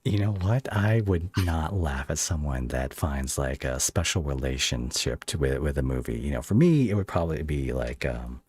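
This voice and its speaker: Deep-voiced man with lively, swinging intonation. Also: normal speed, few pauses, slightly rough, dry recording. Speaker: podcast host and interviewer. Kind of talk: casual online interview chat.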